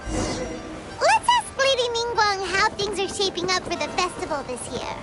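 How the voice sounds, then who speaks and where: A woman voicing a tiny, excitable childlike fairy girl companion with a squeaky, bubbly delivery in an anime-style video game. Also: a little slow, full character voice. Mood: relieved, chatty. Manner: bubbly, exclamatory, refers to self by name. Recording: game music behind voice.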